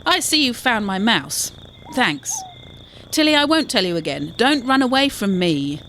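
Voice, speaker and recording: An earnest, kind-hearted storybook boy acted by a woman, eager in manner. The voice is lifted higher than ordinary talk. There is clear ambient noise behind it. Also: big pitch swings, slow pace, pushed and bright, heavily acted. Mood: breezy bluffing.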